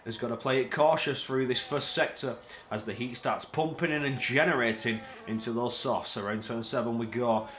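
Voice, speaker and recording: A man doing solo live-stream race commentary, energetic play-by-play that climbs in pitch at tense moments, with chatty asides in quiet spells. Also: normal speed, roomy sound, a little hiss or hum.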